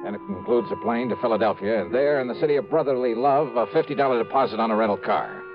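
Male actor as wry, tough-minded insurance investigator, mid-pitched, clipped radio-drama delivery on a dull old recording. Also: animated, fast, over music, lightly acted. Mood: brisk narrating. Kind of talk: 1950s radio drama acting and first-person narration.

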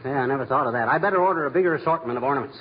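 An actor playing a blustering, wisecracking husband in a 1940s radio comedy, brash and full of slangy exclamations. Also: male voice, mid-range voice, very fast, natural voice. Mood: brash, grumbling. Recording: noisy, muffled old radio recording, studio audience.

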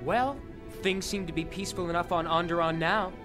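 A man's voice: high voice, fairly even intonation, a little fast, music in the background, natural voice. An actor playing a mild male Jedi companion in a space-fantasy audio drama. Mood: mild, content.

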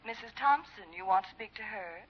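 An actress playing a coy, flirtatious spinster in a 1950s radio comedy style. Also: female voice, mid-range voice, lively intonation, fairly quick, noisy background, natural voice. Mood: polite. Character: coy, flirtatious younger spinster sister-in-law. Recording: muffled old radio recording.

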